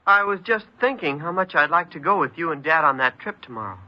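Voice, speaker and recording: An actor playing a troubled, neglected fourteen-year-old boy who speaks haltingly, in the style of old radio crime drama. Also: fairly high pitch, lively intonation, close to an everyday voice. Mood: tearful longing. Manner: hesitant, halting. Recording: muffled, noisy old radio recording.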